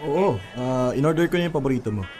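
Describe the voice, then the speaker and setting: A man's voice: medium pitch, expressive, fast talker, darkish tone, soft background music, lightly acted. A man acting an easygoing young adult boyfriend in a radio drama, with a Filipino accent and a casual manner.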